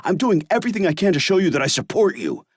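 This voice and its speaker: A man voicing a distraught young tennis pro, yelling. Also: on the high side, animated, unhurried, no echo, clean studio sound, pitched above the performer's own voice. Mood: furious. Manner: anguished yelling, swearing.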